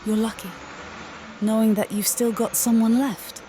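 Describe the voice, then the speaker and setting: A woman playing a gentle, encouraging scientist with a soft, earnest manner in an audio comic. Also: on the high side, little pitch movement, unhurried, a little hiss or hum, close to an everyday voice. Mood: wistful.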